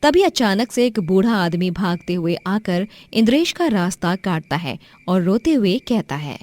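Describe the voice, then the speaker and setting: A woman with a medium-pitched voice narrates a scripted moral tale. She tells it as a storyteller for a children's cartoon. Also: very animated, unhurried, darkish tone.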